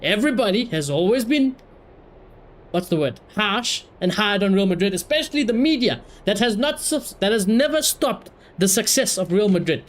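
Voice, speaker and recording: A man giving casual livestream commentary in an animated, slangy, sweary way. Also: sits high, moderate pace. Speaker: live streamer.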